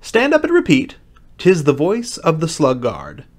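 A bossy mythical beast from a storybook, put on by a man. The voice is pushed up high and comes out emphatic and exclamatory, with brusque impatience. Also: sing-song, normal speed. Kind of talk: storybook character voice.

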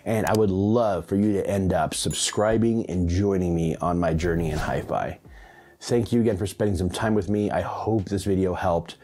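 A man around his late thirties or forties, presenting a scripted audio tech tutorial with clearly enunciated, step-by-step delivery. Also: low-pitched, normal speed, mellow. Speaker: host of a hi-fi audio channel. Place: small home room, indoors.

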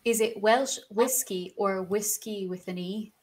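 A woman's voice: mid-range voice, unhurried. A young woman hosting an online tasting over a video call, reading out viewer questions with a few fillers. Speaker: host.

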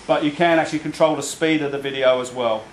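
An Australian man walks a crowd through a live product demo, pausing often with ums and restarting his sentences. He is recorded in a roomy, echoey shop, with some background noise from the crowd. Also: fairly high pitch, fairly even intonation. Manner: hesitant, full of ums and restarts. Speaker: product demonstrator. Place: crowded store at a product night.

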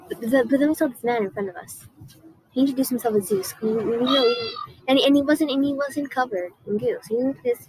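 Husky, halting goddess queen, played by a young girl. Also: fairly high pitch, low-quality recording. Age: child or young teen student. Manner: halting, repeating phrases, emphatic. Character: indignant, vengeful Greek goddess queen, wife of Zeus. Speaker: student performer. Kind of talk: costumed first-person school monologue. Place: outdoors under a wooden canopy.

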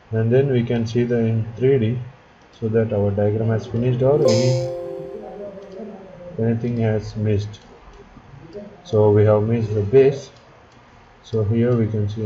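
A man narrating a step-by-step software tutorial as an instructor, speaking in short, halting instructional fragments. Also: fairly low pitch, level tone. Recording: dull lo-fi audio, background chatter, clicking.

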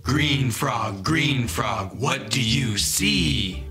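A man's voice: mid-range voice, animated, very slow, bright, forceful tone, faint music behind. An American man in his thirties co-hosting a children's storytime with playful, exaggerated, theatrical delivery. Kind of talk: animated comedic read-aloud.

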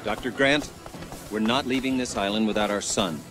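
A man acts an earnest suburban dad in an animated film, with a fairly low voice. He sounds sheepish, anxious and a little hesitant, with clear music behind him. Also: moderate pace, natural voice. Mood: earnest, uncertain. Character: anxious, earnest suburban father and small businessman. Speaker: film character. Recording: film audio under music.

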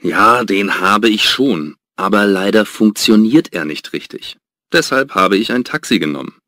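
Low, dry-voiced male worker. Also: animated, sharp tone, no room sound, full character voice. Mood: apologetic. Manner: slow, plain answers. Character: young male worker explaining his taxi ride.

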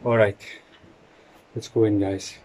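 A young man vlogging by a rocky shore and in a sea cave, talking into a handheld selfie camera, shifting between dramatic hushed storytelling and loud exclamations. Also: on the low side, flat delivery, takes it slowly, slight background noise. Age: young adult. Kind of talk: excitable travel vlog.

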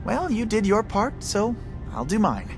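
A mild, nervous game scientist who talks slowly and haltingly. His pitch swings all over the place as he dodges and hedges. Music plays clearly behind him. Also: huge pitch swings, full character voice. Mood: nervous, hesitant. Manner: hesitant, halting. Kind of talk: video game voice acting.

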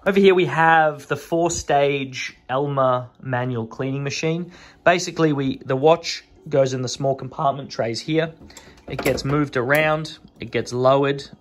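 A man's voice: medium pitch, unhurried. Man, 30s, watchmaker, loose easygoing tour talk, filler-heavy, phone-close sound in a small workshop. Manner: relaxed, rambling with many fillers. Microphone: phone camera held close.

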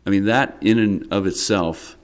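A middle-aged man, a town council member, questioning a business owner at a public work session, measured and repeating words as he presses his point. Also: on the low side. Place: town council meeting room, indoors. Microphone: desk microphone.

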